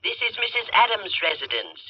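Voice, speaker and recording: A woman's voice: mid-range voice, very animated, husky. An actress playing a formal, guarded woman answering a household telephone in a 1940s radio drama, through a phone filter. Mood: formal, grave. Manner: formal, measured, proper. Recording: muffled phone line on old radio recording.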